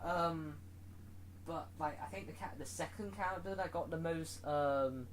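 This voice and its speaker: A man's voice: on the high side, measured pace, a little hiss or hum, low-quality recording. A man giving a casual, rambling movie review, full of fillers and restarts.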